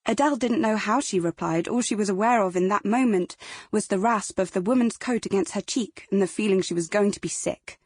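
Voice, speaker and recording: A woman's voice: mid-range voice, a little fast, a little mellow, husky, dry recording, clean studio sound. A woman with a British accent narrating dramatic fiction as a scripted audiobook.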